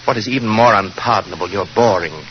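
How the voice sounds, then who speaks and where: An actor playing a pompous, acid-tongued British aristocrat in a 1940s radio drama, with cutting, elaborate phrasing. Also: male voice, lowish voice, fast talker, lightly acted. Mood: scornful indignation. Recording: muffled, noisy 1940s radio recording.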